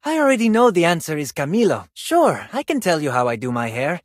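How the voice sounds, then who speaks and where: A vain Spanish ballet dancer from a video game. His voice is husky and swings widely in pitch, with a Spanish accent and a theatrical, self-admiring flourish. The sound is dry and close. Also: sing-song, a little fast, no room sound. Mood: vain, playful. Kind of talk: video game character voice lines.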